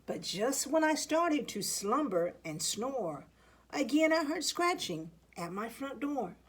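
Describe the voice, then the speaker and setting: Expressive woman reading a children's storybook. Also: high-pitched, slow pace, husky. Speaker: assistant principal reading a children's picture book aloud. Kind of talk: expressive storybook read-aloud in rhyme.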